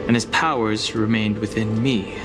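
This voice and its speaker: A reserved young warrior of dragon blood, played by a man in a video game, speaks in a lowish voice. His delivery is formal, measured and earnest, with loud music underneath. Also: fairly even intonation, natural voice. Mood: solemn, earnest. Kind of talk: video game character voice acting.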